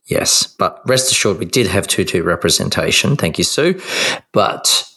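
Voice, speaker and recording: A middle-aged Australian man co-hosting a casual podcast chat, slightly croaky, halting with many fillers. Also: low-pitched, lively intonation, bright, forceful tone. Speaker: podcast co-host.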